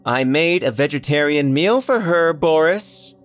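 A man putting on a calm, wry mother. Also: fairly high pitch, a little slow, soft background music, voice pushed up. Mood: calm, dry.

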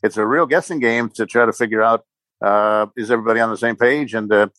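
A man giving casual sports podcast analysis as a guest commentator, halting and hedging with many ums and repeated words. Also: on the low side, a bit bright, dry recording. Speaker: sports commentator guest, former radio play-by-play announcer.

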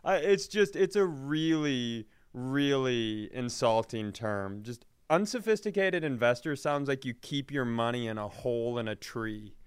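A man's voice: mid-range voice, expressive, unhurried, few pauses, smooth, no room sound. A man hosting a comedy podcast, telling stories casually in a dry, self-deprecating way. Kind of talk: casual comic banter.

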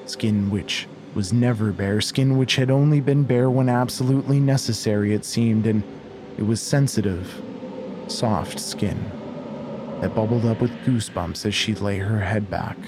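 A man narrating a scripted horror story in a measured, intense, literary style. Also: lowish voice. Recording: faint background noise.

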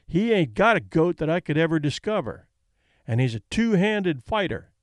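Laid-back trainer with a slow drawl, as a male narrator plays him, slightly raised voice. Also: fairly high pitch, very animated, dry recording. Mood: easygoing.

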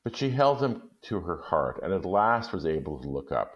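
A man speaking as an expressive story reader, in a literary storytelling style. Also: low-pitched, normal speed, highs cut off.

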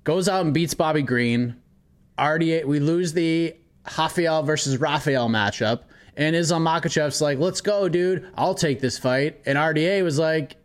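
A man co-hosting a podcast in casual chat, animated and ranting with mock outrage. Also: a little high. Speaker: podcast co-host.

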